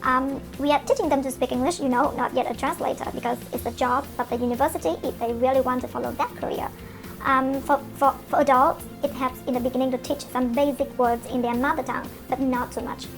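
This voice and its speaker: A young adult woman with a Vietnamese accent, whose first language is Vietnamese, hosting a solo podcast lesson as an English coach. She talks earnestly and encouragingly, often pausing on fillers. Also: sits high, fairly even intonation. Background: faint background music.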